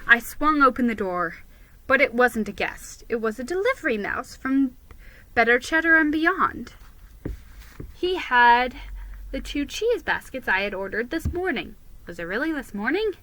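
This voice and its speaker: A woman giving a dramatic, expressive reading of a first-person children's story. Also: high voice, measured pace, rough recording. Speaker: storybook reader.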